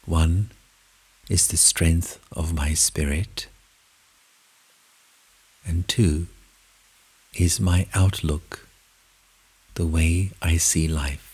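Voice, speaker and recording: A man with a husky, breathy voice narrates a guided meditation in measured, deliberate short phrases with long pauses. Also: sits low, fairly even intonation, a little mellow.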